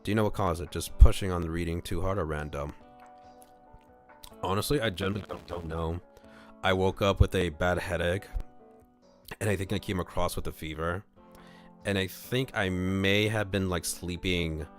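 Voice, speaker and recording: A low-voiced man hosts a casual livestream, drifting between loose, halting chat and reading aloud from a visual novel. Soft music plays behind him. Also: steady tone.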